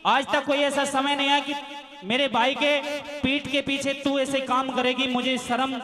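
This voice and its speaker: A man's voice: extremely high pitch, level tone, a crowd in the background. A Haryanvi man as a stern suspicious young brother-in-law. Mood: accusing. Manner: rapid accusing speech, then drawn-out folk singing. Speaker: ragni singer. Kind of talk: sung folk duet with spoken dialogue. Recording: live folk music behind the voice.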